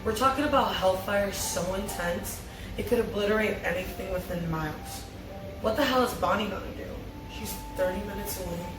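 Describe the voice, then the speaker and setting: A woman's voice: medium pitch, brisk, lightly acted. A woman reading the part of a scornful, sardonic female villain in a table read. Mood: scornful, taunting. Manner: taunting, sarcastic. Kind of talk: amateur table read of TV drama script. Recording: roomy, distant mic, music underneath.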